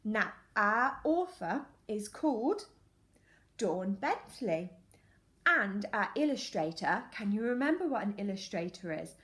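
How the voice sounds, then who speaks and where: A woman's voice: medium pitch, big pitch swings, a little slow, a bit bright. A woman teacher reading a picture book to young children, telling the story expressively and putting questions directly to her listeners. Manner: questioning, addressing children directly, clearly paced. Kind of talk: animated children's storytelling and lesson. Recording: echoey room.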